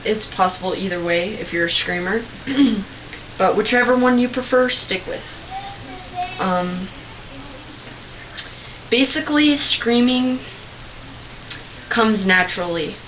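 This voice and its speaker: A young woman giving a casual, rambling tutorial on screaming technique, clearing her throat often. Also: medium pitch, slow pace, pauses often. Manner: chatty, rambling, with many filler words and throat clearing. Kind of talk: casual home tutorial video. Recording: dull lo-fi home recording with faint noise.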